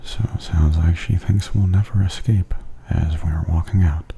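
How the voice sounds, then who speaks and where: Very dark, hushed male ASMR voice. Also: low-pitched, fairly even intonation, normal speed. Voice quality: hushed, breathy half-whisper. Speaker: ASMR host and tour guide. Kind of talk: softly spoken ASMR commentary. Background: theme park haunted attraction ambience.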